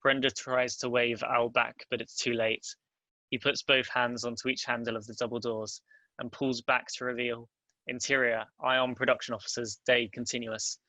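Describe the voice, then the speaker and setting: A man's voice: medium pitch, flat delivery, normal speed, bright, forceful tone, no echo, rough recording. A man narrating stage directions at a script table read.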